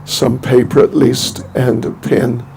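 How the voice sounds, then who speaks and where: Slow, gravelly older man giving a talk. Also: mid-range voice, flat delivery, dark tone, soft background music, low-quality recording. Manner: halting, rambling, with many restarts; chuckles to himself. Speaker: spiritual teacher. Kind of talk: informal spiritual talk.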